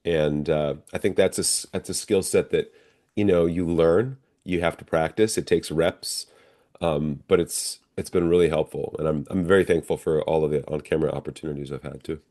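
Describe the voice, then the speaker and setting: A man's voice: extremely low pitch, fairly even intonation, moderate pace, dark tone. A middle-aged man, an actor, answering interview questions in a reflective, conversational way. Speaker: stage and screen actor, interview guest.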